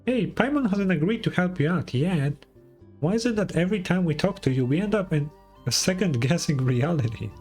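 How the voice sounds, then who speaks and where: A small female fairy sidekick from a fantasy game, acted out by a man, pitched well up. She is feisty and doubtful, firing off indignant, sarcastic retorts over background music. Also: expressive, brisk, a little mellow.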